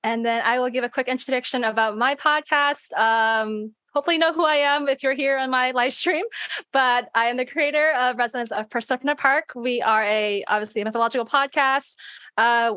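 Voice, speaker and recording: A woman's voice: a little high, a little fast, fairly bright tone, no room sound. A woman casually hosting a livestream panel, bubbly and giggly in manner. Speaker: livestream host, podcast creator.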